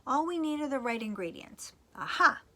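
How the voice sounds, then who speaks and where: A woman voicing a brisk, commanding fairy godmother in a children's storybook reading. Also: high voice, sing-song, pushed and bright, voice pushed up, heavily acted. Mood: brisk, instructing. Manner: commanding, emphatic. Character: bossy, brisk fairy godmother with magic wand.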